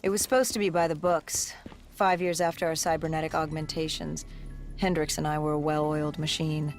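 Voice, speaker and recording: A grim cyber-augmented soldier, voiced by an actor, looks back on a disaster in a video game cutscene. The voice is low-set and gravelly, with creaky edges and somber, measured phrasing. Music plays underneath. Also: flat delivery, natural voice. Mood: grim, reflective.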